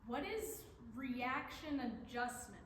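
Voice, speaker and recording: A woman's voice: sits high, expressive, takes it slowly, fairly bright tone, exaggerated voice. A woman acting a brash, playful young student in a staged play reading, blunt and sarcastic. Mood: puzzled. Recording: echoey room, faint noise.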